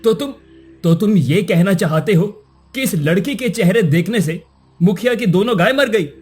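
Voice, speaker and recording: A man's voice: sits high, expressive, normal speed, a little mellow, husky, soft background music. A man voicing a kind, righteous young prince in a cartoon story, earnest and formal. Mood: indignant. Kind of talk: cartoon moral story voice acting.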